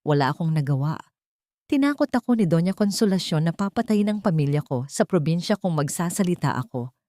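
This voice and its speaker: A woman narrator puts on a frightened old Filipino maidservant from a drama story. She confesses and pleads with remorse, in a low voice recorded close and dry. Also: animated, fairly quick, warm tone, no room sound, natural voice. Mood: remorseful, pleading. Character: remorseful, frightened old female household servant.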